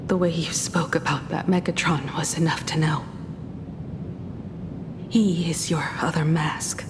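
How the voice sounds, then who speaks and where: An actress playing a composed, perceptive young woman in a video game, speaking in a measured, cool and serious way. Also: deep voice, fairly even intonation, noisy background. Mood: cool, reproachful. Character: composed, perceptive young woman confronting her pretend father. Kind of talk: dramatic video game dialogue.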